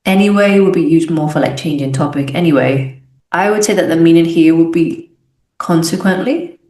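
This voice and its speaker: Low-voiced teacher woman from the UK. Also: moderate pace, mellow. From United Kingdom. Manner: explanatory, thinking aloud, self-correcting. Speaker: English teacher. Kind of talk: think-aloud exam walkthrough. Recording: echoey room.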